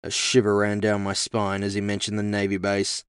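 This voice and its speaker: A man's voice: fairly low pitch, monotone, normal speed, clean tone, dry recording. Man narrating fiction, very dry recording. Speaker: author and narrator. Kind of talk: scripted first-person audio fiction narration. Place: dry, close recording space.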